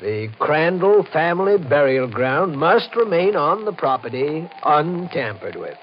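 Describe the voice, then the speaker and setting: A man's voice: on the high side, sing-song, unhurried. Male estate agent, officious, 1950s radio drama style, muffled noisy broadcast. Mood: businesslike.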